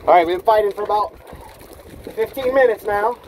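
A man, excitedly narrating a fishing trip from a small boat on the open sea, filmed with an action camera. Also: high-pitched, brisk, a little hiss or hum, low-quality recording. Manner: upbeat, narrates while fighting fish, exclamations. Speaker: fishing vlogger. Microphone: action camera, sometimes selfie at arm's length.

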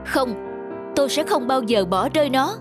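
A woman voicing a kind, anxious young female dog adopter in a cartoon, with an expressive, earnest delivery. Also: sits high, moderate pace, music in the background. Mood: resolved. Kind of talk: animated cartoon dubbing.

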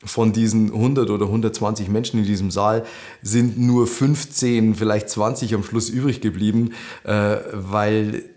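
Chatty Lower Bavarian man storytelling. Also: moderate pace. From Lower Bavaria, Germany. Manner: chatty, animated, gestures with hands. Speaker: host. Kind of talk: personal storytelling to camera. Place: living room indoors.

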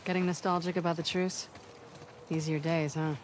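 A woman's voice: on the low side, flat delivery, normal speed, a little hiss or hum, lightly acted. An actress playing a watchful woman soldier in a video game, speaking firmly and matter-of-factly. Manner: firm, matter-of-fact. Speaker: game character.

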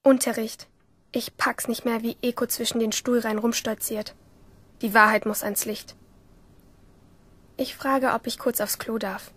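A woman acting a resentful, obsessive teenage schoolgirl who narrates in a radio play, with a husky voice. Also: fairly high pitch, level tone, measured pace, long pauses, a bit bright. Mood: resentful, tense.

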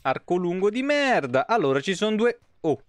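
A man's voice: sits high, very animated, moderate pace, dry recording. A man in his thirties from Italy giving casual, foul-mouthed gaming commentary and chatting to viewers. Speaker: live streamer playing a video game. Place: indoor home streaming setup. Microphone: close streaming microphone.

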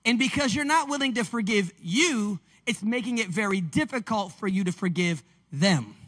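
A man, preaching a lively, conversational sermon on a church stage into a handheld mic. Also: high-pitched, moderate pace. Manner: animated, conversational preaching with call-and-response. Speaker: pastor. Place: large church stage with screens. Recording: long reverb of a large hall.

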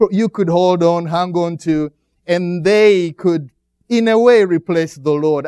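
A middle-aged man from Uganda with a Ugandan accent, speaking as a guest pastor to a church congregation, pausing often as he preaches. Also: high-pitched, a little mellow, clean tone, no echo, clean studio sound. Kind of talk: church greeting and sermon.

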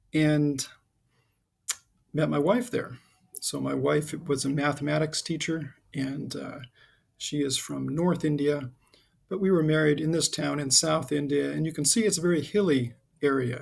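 A middle-aged American man, a high school teacher, giving an informal, halting, explanatory introduction to his class over slides. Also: creaky, breathy edge. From United States (Connecticut and Southern California).

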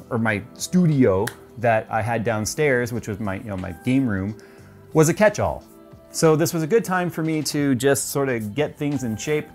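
A man in his forties giving a casual tour of his home game room, chatty and loose with plenty of ums, on a lapel mic. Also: medium pitch. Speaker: tech YouTuber.

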